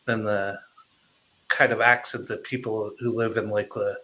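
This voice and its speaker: Bright-voiced man thinking aloud. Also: fairly low pitch, little pitch movement, unhurried, low-quality recording. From United States, Midwest. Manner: hesitant, restarts and fillers, thinking aloud. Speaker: podcast host. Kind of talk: casual chat.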